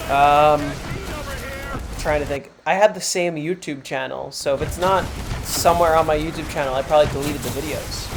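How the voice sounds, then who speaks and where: A man in his twenties with a high tenor voice, chatting casually and thinking aloud while streaming a game. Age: 20s. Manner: relaxed, rambling, thinks aloud. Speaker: live streamer. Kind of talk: casual chat while gaming. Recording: clear background noise.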